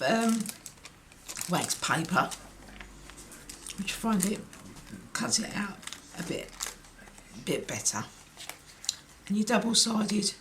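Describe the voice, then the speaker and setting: A woman with a croaky, worn voice and a lilt guides viewers through a craft project. She speaks unhurriedly, mumbling and slipping into whispers while her hands work. A faint hiss and small clicks sit under her voice. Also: mid-range voice. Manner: slow, half-murmured commentary while working, often dropping to a whisper. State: croaky voice from illness. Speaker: card-making tutor.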